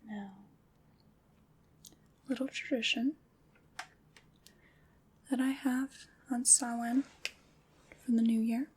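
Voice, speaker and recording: A woman playing a calm witch in an ASMR roleplay, leading a ritual in a hushed, soothing, deliberate whisper. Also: on the high side, a little hiss or hum. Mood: gentle, intimate.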